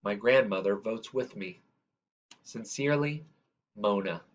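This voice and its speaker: A man reading a children's story aloud in a measured, expressive way, drawing out the ends of phrases. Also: on the low side, muffled recording. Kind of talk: read-aloud scripted narration.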